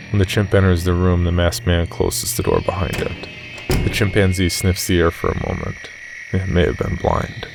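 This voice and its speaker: Very deep, gravelly man narrating horror. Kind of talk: scripted first-person horror story narration.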